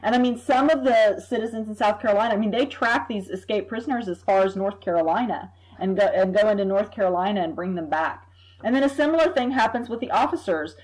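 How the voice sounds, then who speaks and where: Woman, history professor, podcast guest, measured, thoughtful, middle pitch. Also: darkish tone, rough recording. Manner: measured, thoughtful, repeats words while thinking. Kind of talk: expert explaining in an interview.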